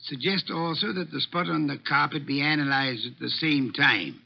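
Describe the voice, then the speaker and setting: An actor playing a pompous, irritable genius detective in an old radio drama, with ornate, exclaiming speech. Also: male voice, fairly high pitch, normal speed, vocal fry. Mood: imperious. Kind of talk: 1940s-50s radio drama acting. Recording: dull vintage radio recording.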